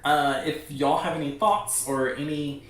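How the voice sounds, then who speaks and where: A man's voice: a little high, measured pace, a bit bright. A man hosting a livestream, chatting casually to viewers in an informal, encouraging way. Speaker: livestream host. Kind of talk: casual chat to a livestream audience. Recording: very echoey room, distant mic.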